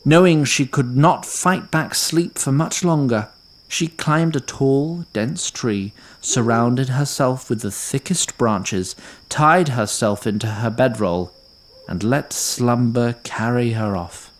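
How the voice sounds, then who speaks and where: Measured man narrating fantasy. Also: mid-range voice. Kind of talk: scripted fantasy story narration. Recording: faint ambient noise.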